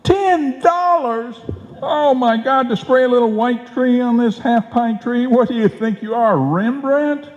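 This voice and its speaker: A man's voice: very high voice, a little slow. A man playing a cranky, penny-pinching schemer husband in old-time radio comedy, sputtering and grumbling with indignation. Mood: outraged. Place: live performance on a stage in a hall. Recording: muffled live stage recording, echoey hall.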